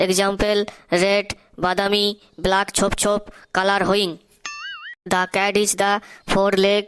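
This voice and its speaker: A woman's voice: medium pitch, a bit bright. A woman voicing a cheeky, boastful boy pupil in a Bengali comedy cartoon, joking in broken English with a Bengali accent. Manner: jokey, broken English, sing-song.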